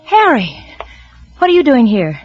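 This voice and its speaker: A secretive wife insists on her story in a husky, slightly breathy voice. The recording is dull and lo-fi, with faint music behind her, like a vintage radio play. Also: high voice, wildly swooping pitch, unhurried, heavily acted. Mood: guarded. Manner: defensive, insistent. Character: evasive, secretive young wife. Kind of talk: 1940s radio drama acting.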